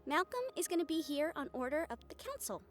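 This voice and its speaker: A woman playing a guarded, protective young fiancée in an intimate audio roleplay drama, speaking earnestly and emphatically with deliberate pauses. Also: sits high, very animated, soft background music. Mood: firm, resolute.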